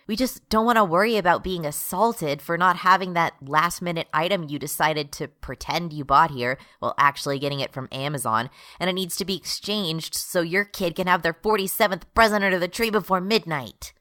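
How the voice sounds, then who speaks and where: A woman's voice: lowish voice, fairly quick, hardly any pauses, sharp tone. A woman playing an exasperated non-binary retail worker turned rebel leader, ranting in a radio drama monologue.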